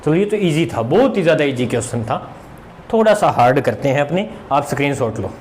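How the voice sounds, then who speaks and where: A man's voice: fairly high pitch, big pitch swings, brisk, darkish tone, a little hiss or hum. A man teaching informally, repeating phrases for emphasis and answering his own questions as students would. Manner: mixes English terms into Hindi. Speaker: maths teacher. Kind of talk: informal exam-prep lesson.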